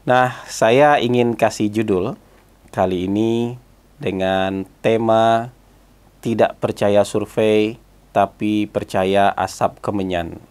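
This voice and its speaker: A man with a stuffy, hoarse voice from a cold, talking through his commentary with lots of "ya" and "eh" fillers. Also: lowish voice, steady tone, slow pace, darkish tone. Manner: conversational, frequent "ya" and "eh" fillers. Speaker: political commentator hosting his own channel. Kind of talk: solo spoken commentary.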